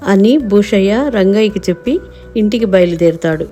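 A woman narrates a children's moral tale in a mellow, mid-pitched voice. The delivery is scripted storytelling, with light music playing underneath. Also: moderate pace.

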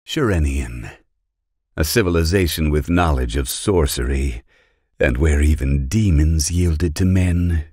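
A man playing a scheming, scholarly villain in a fantasy game, coolly amused, with a gravelly, husky voice and a slow, musing delivery. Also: rumbling low, darkish tone, no echo, clean studio sound, heavily acted. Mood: musing, fascinated. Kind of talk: fantasy video game voice acting.

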